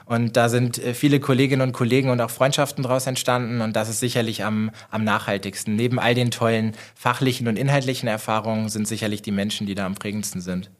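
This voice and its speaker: A man in his forties from Germany with a northern German accent, speaking as a guest in an interview. He talks in a measured, reflective way, pausing on fillers and restarting his phrases, at a mid pitch. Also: steady tone. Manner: thoughtful, many fillers and restarts. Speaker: managing director, guest. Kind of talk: conversational interview answers.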